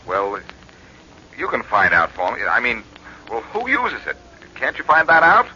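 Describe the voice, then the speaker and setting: An actor playing a brisk, wry amateur detective in a 1940s radio drama, speaking with an insistent manner. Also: male voice, mid-range voice, huge pitch swings, pushed and bright, full character voice. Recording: muffled, noisy 1940s radio recording.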